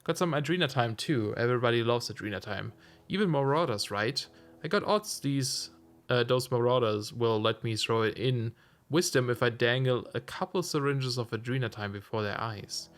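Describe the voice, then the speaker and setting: A slightly hoarse man chatting casually while streaming a game, musing aloud with self-amused asides. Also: medium pitch, moderate pace. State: slightly hoarse, losing his voice. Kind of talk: casual let's-play commentary.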